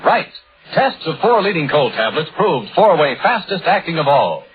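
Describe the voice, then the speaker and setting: A man's voice: on the high side, very animated, normal speed. A man reading a 1950s hard-sell radio commercial with emphatic delivery. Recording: thin, phone-like old radio sound.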